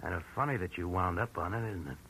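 An actor playing a suspicious, needling tough hired hand with slangy sarcasm, in 1940s radio style on an old recording. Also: male voice, low-pitched, fast talker, vocal fry, bright, forceful tone, slightly rough, exaggerated voice, poor audio. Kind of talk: hard-boiled 1940s radio acting.

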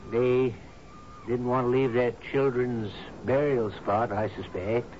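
An actor playing a captain's steady, deferential right-hand man in a 1970s radio drama, measured and soothing. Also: mid-range voice, lightly acted. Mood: somber, soothing. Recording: muffled, noisy 1970s radio broadcast.

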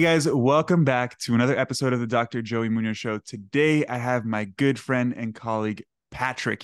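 A man hosting a podcast in casual chat, upbeat and often tagging "right?" onto his points. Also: mid-range voice. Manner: upbeat, peppers talk with "right?". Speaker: podcast host.

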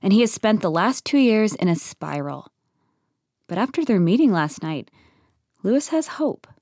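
A woman's voice: medium pitch, very animated, moderate pace, darkish tone, dry recording, studio quality. A woman reading scripted narration for an audio comedy drama. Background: clear music.

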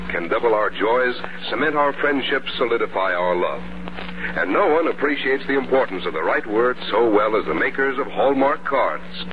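A man announcing in formal 1950s radio style, reading a scripted sponsor message with measured oratory. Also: mid-range voice. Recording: very muffled, noisy vintage radio recording.

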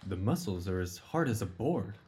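Mellow, earnest Victorian doctor, played by a man. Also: fairly low pitch, sing-song. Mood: attentive, clinical. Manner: measured, clinical, attentive. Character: earnest, observant male Victorian doctor, detective's companion. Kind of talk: audio drama acting.

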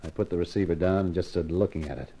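An actor playing a tough, cocky private eye with slangy, hardboiled delivery in a 1950s radio crime drama. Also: male voice, deep voice, fast, background noise, natural voice. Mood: wry narration. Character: tough, wisecracking, confident male private eye. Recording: vintage 1950s radio recording.

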